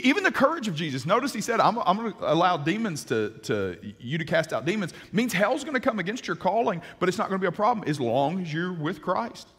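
Husky-voiced male pastor, forties, lively sermon on stage, reverberant hall sound. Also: a little high. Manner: animated, gesturing, many restarts. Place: large church stage.

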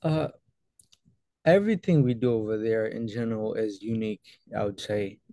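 Gravelly hesitant man from Ethiopia. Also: on the low side, moderate pace, warm tone, no room sound. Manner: hesitant, many fillers and restarts. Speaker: guest photographer. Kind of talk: casual interview answers. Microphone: video call.

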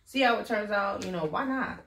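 A woman co-hosting a casual eating-show chat, easygoing, quick with asides and laughs. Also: medium pitch, fairly bright tone, distant mic, a little hiss or hum. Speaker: co-host. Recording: echoey room, a bit off mic.

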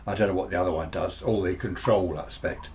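A man's voice: low voice, a little fast, darkish tone. An older man in his sixties or beyond with an English accent, chatting casually and musing aloud as he reviews gadgets unscripted. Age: 60s or older. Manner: rambling, chatty, muses aloud to himself. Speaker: radio hobbyist presenter. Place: small cluttered indoor radio workshop. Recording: noticeable background noise with hum.

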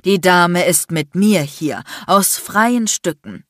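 A pompous businessman in an audiobook, as a woman narrator puts him on. He sounds affronted and angry, stiffly formal, with a lowish pitch and a dry studio closeness. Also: drawn-out words, a bit bright, husky, no room sound, heavily acted. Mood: indignant, affronted. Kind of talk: dramatic audiobook character acting.

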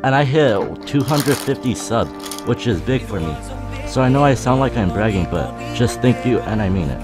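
A teenage boy vlogging a roast in a flat, monotone, deadpan voice with dry sarcasm. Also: mid-range voice, normal speed, over music. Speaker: YouTuber. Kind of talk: casual roast vlog narration.

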